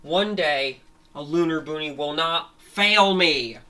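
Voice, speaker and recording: A man's voice: on the high side, slow, pushed and bright, full character voice. A man voicing a frustrated, scheming cartoon mastermind who curses his enemy. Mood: brooding.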